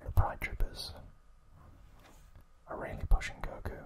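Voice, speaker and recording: An ASMR host whispering softly and gently in a breathy, unvoiced voice, recapping trivia in an unhurried way. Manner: soft, gentle, unhurried storytelling.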